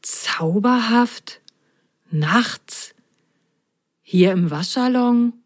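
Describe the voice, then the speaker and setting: A woman's voice: medium pitch, a little slow, a little mellow, lightly acted. A woman voicing a dreamy, curious male mop-haired creature in a children's story, wondering and hesitant. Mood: puzzled.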